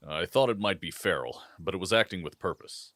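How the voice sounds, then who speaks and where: A man plays a devoted werewolf guard in an audio roleplay. His voice is very deep and his manner gruff and laconic. It is recorded close and dry. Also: fairly quick, fairly bright tone, no echo. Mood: serious.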